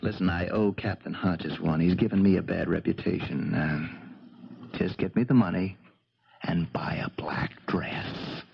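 A man's voice: low-pitched, unhurried, vocal fry, noisy background. An actor in a 1970s radio drama playing a scheming, sullen beach boy, cocky and resentful, with a slangy, insolent drawl. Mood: sly, plotting. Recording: muffled 1970s radio recording.

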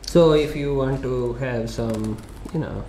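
A man giving a loose, conversational screen-share tutorial on SEO software, with frequent fillers and restarts. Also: mid-range voice, slow, noisy background.

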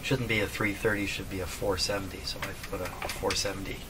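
A man's voice: deep voice, steady tone, a little fast. An older man narrating casually at his workbench, thinking aloud in a folksy way. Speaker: radio repair hobbyist, presenter. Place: small cluttered indoor workshop at a bench. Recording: distant mic, clear background noise.

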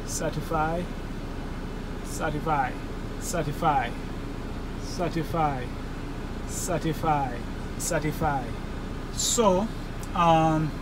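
A man in his forties, a truck driver, thinking aloud as he works through a screen in the cab of his parked truck, hesitant and halting. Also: on the high side. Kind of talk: casual vlog walkthrough. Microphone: phone camera held in the cab. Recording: engine and fan noise in a truck cab.

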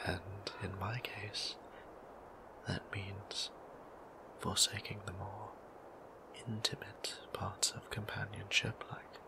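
A shy, tender vampire priest, played by a man, murmurs in a low, creaky whisper. He speaks very slowly and haltingly, with gentle formality, as in an intimate ASMR roleplay. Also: low-quality recording.

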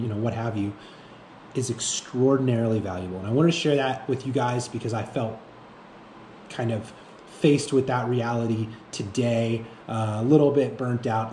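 A man in his thirties speaking off the cuff to camera as a fitness vlogger, haltingly, often repeating himself and searching for words. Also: mid-range voice, warm tone. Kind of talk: reflective unscripted monologue to camera. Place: indoor room with bare walls. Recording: echoey room with faint background noise.

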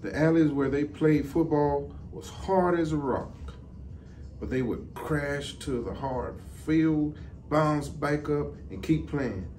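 A middle-aged man reads a children's storybook aloud in a measured, deliberate way. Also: on the high side, lively intonation, darkish tone. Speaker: deputy fire chief. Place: indoor office, seated. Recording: distant mic, some background noise.

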